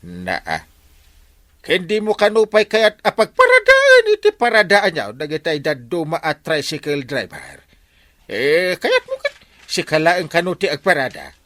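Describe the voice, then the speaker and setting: A man with an Ilocano accent playing an excitable, blustering village captain full of exclamations in a comedy radio drama. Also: a little high, no room sound, exaggerated voice. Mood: scolding. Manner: exclamatory, full of drawn-out interjections. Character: excitable comic male village captain, blustering and easily swayed.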